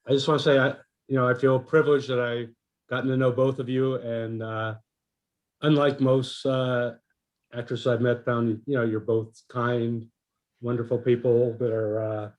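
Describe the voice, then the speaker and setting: A man with a mid-range voice speaks as an audience member asking a question. He is casual and somewhat hesitant, moving forward in short halting phrases. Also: medium pitch, little pitch movement, dull audio.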